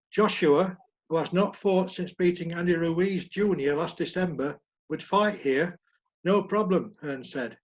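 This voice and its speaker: A man with a croaky voice reading out sports news items and joking with friends on a video call. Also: a little high. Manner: jokey, reads news aloud. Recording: muffled video call audio.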